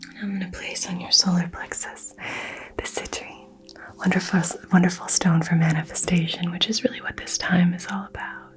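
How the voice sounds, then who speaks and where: A woman from the American South whispering slowly and soothingly through a crystal healing roleplay. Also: on the low side, level tone, poor audio. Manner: soft, slow, soothing whisper. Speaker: ASMR creator doing a crystal healing.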